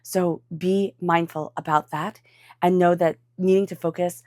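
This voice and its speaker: A woman's voice: lowish voice, fairly even intonation, measured pace, a little mellow, dry recording, clean studio sound. A congested woman with a cold giving an encouraging tarot reading, talking straight to the listener. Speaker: tarot reader. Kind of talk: tarot reading with guided meditation.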